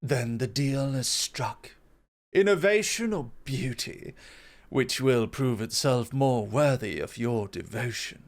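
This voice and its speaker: A man voicing a proud, composed god of creation in an ASMR roleplay, speaking in a measured, formal, self-assured way. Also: mid-range voice, expressive. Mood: intrigued, assured.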